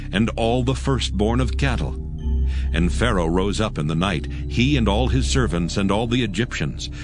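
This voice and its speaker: Male narrator, lowish pitch, solemn and measured scripture storytelling over a music bed.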